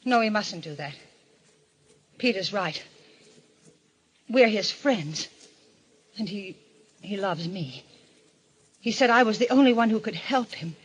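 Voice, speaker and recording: A woman's voice: medium pitch, expressive, takes it slowly, long pauses, breathy edge. An actress playing a frightened but loyal woman, earnest and breathless, in melodramatic old radio mystery style. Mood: anxious. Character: frightened, loyal woman pleading with a killer friend.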